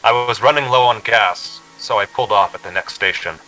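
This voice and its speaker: A man's voice: lowish voice, brisk, music in the background, close to an everyday voice, rough recording. An actor playing an uneasy young man on a road trip in a suspense radio drama. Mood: brooding, uneasy. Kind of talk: radio drama acting, first-person suspense narration.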